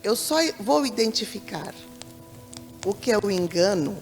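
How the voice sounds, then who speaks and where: A Brazilian woman with a Brazilian Portuguese accent talks in a halting, thoughtful way, repeating words as she gathers her thoughts. Her voice carries a gravelly fry, and a long reverb trails it while faint music plays underneath. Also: medium pitch, animated, darkish tone. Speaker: deaconess. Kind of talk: church talk show conversation.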